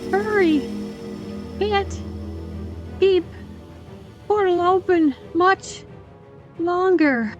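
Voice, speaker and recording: A woman playing an exhausted magical queen in an audio drama, straining and halting word by word. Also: very high-pitched, dull audio, heavily acted. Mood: strained, urgent. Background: dramatic music.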